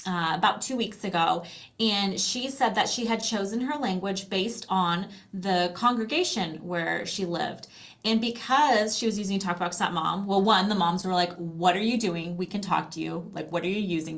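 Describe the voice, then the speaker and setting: Woman explaining conversationally as interview guest. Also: fairly low pitch. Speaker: interview guest, company founder.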